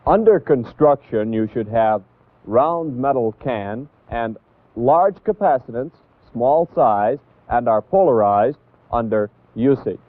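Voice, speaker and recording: Male American instructor, 1960s educational film narration, mid-range pitch, deliberate and emphatic on key points, narrow tinny vintage audio. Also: expressive, no echo.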